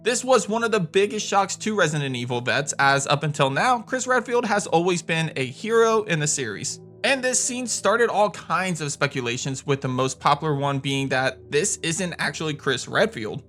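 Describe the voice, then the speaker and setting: A man's voice: fairly high pitch, animated, normal speed, few pauses, fairly bright tone. A man hosting a gaming countdown video, narrating in a conversational, opinionated way. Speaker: host of a gaming video channel. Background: background music.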